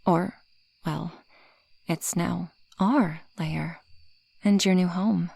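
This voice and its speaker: A woman voicing a possessive, proud female dragon in an ASMR roleplay, with formal, haughty phrasing and a breathy, husky voice that often drops toward a whisper. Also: on the low side, fairly even intonation, drawn-out words, a little mellow, no room sound, heavily acted. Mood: possessive, smug. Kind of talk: ASMR roleplay addressed to the listener.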